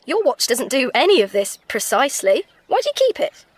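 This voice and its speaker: High-voiced sulky teenage girl. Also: big pitch swings, brisk. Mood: scornful. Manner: petulant, scornful, complaining. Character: surly, sulky, bored teenage daughter. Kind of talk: radio comedy drama acting.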